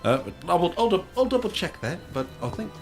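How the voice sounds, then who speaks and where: A man's voice: sits high, very animated, fairly quick. A man chatting casually as a player at a tabletop roleplaying game. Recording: music behind the voice. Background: music.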